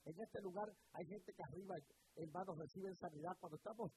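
Middle-aged man, radio pastor, preaches with fervor and repetition, a touch high-voiced, over audible hiss. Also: mellow, low-quality recording. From Puerto Rico. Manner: fervent preaching with repetitions and drawn-out emphasis. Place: radio studio. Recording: hissy broadcast recording.

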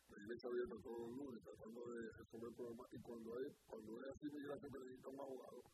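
A Dominican-born man hosting a talk show, quick and animated with teasing interjections. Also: mid-range voice, heavy background noise. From Dominican Republic. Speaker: host. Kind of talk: casual radio talk show.

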